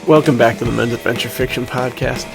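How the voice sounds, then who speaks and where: Man with a heavily creaky, gravelly voice. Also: mid-range voice, fairly even intonation, quick pace, over music. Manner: informal, slangy. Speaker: podcast host. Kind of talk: casual banter between friends.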